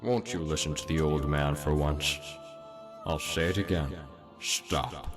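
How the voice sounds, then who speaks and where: A grave old man from a fantasy game, played by an actor, with an extremely low voice. He sounds weary and sorrowful, in long reverb with music underneath. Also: fairly even intonation, a little slow. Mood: weary pleading. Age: older. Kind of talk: dramatic game voice acting.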